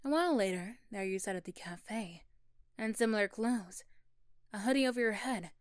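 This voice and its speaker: A woman reading scripted second-person story narration for ASMR, soft and hushed, often slipping toward a whisper. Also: mid-range voice, animated, moderate pace, dry recording. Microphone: close, dry microphone.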